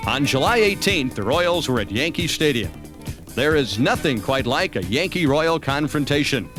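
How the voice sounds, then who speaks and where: A man's voice: on the high side, sing-song, moderate pace. An American man reading scripted narration for a baseball season recap. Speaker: documentary narrator. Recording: music under the voice. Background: background music.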